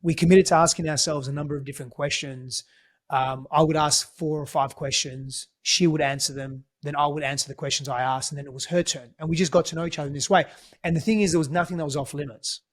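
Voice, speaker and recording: A man's voice: on the high side, steady tone, quick pace. Australian man telling his story earnestly. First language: Greek. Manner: reflective, earnest, frequent restarts. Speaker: guest, relationship coach. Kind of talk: conversational storytelling.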